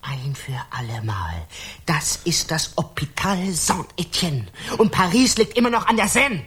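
Impatient pompous police official, radio crime drama, voice on the high side and swinging all over. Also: male voice, sing-song, a little slow, a bit bright, slight background noise. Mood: impatient, harsh. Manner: curt, interrogating, pompous. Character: harsh, impatient, scornful Parisian police justice official.

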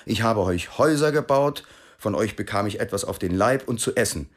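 An actor playing an earnest, proud East German racing cyclist in a radio drama, emphatic and self-justifying. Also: male voice, lowish voice, expressive, a little fast. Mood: earnest, defensive.

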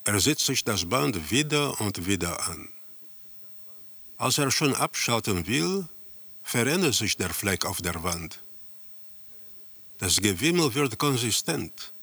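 A man playing an impassive narrator in a radio drama, reporting a surreal tale in deliberate, terse phrases. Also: fairly low pitch, very animated, vocal fry, fairly bright tone, breathy edge, no room sound, exaggerated voice.

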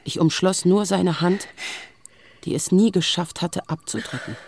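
A woman's voice: fairly low pitch, a little slow, gravelly, darkish tone, slight background noise. An actress playing a weary, defiant woman in her early forties, narrating her story in a sober, restrained literary radio drama style. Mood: sober, grieving. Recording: music behind the voice. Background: music.

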